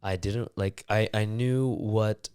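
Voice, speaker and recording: Smooth low-voiced young man, casual podcast chat. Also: slow pace, dry recording, studio quality. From Canada. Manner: relaxed, loose, heavy on fillers and swearing. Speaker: podcast co-host.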